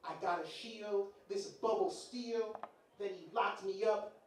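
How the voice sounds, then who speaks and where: Casting: male stage poet, high voice, slow theatrical comic delivery, heard from afar in a reverberant venue. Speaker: live show performer. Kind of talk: comic poem recital on stage. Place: indoor bar venue, live event. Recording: very echoey live venue, distant, faint noise.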